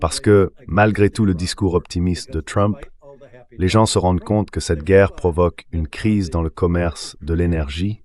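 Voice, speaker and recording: Deep synthetic male political commentator. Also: steady tone, measured pace, darkish tone. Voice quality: synthetic text-to-speech voice. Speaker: political and economic commentator, interview guest. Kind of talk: translated interview commentary, read by AI voice.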